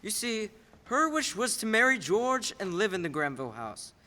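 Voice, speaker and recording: A young man plays a radio-drama storyteller. His male voice is high and bright, explaining events to a companion. It rings with the long reverb of a hall. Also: sing-song, moderate pace, heavily acted. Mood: explanatory. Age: young adult. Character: storyteller recounting a man's life to a companion. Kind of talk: radio play narration. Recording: echoey hall.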